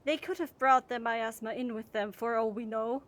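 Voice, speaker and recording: A woman plays a high-voiced village gentlewoman, proud and reproachful. Her delivery is stern and clipped, in the manner of period audio drama. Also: fairly even intonation, normal speed, natural voice. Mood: indignant.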